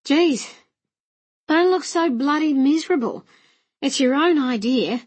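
A woman voicing a rough, cheery young coachman in an audiobook, blunt, colloquial and fatherly. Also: sits high, measured pace, darkish tone, voice pushed up. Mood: brisk, teasing.

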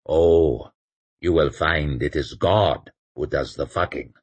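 A man voicing a calm, fatalistic cleric who intones his words. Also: a bass voice, breathy edge, exaggerated voice. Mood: cold intoning. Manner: intoning, measured. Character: cold pious male cleric, calm and fatalistic.

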